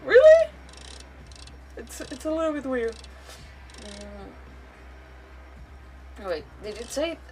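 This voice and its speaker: A woman's voice: high-pitched, wildly swooping pitch, takes it slowly, long pauses, warm tone, recorded from a distance, a little hiss or hum. A woman game streamer giving casual live commentary, exclamatory and often stumbling as she reads aloud. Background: game music.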